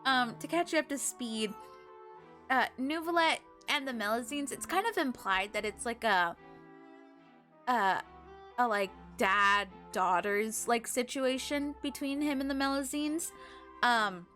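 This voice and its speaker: A woman livestreamer chatting casually with viewers, halting and emotional in a gushing way. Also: a little high, sharp tone, soft background music. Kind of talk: casual live game stream chat, recapping to viewers.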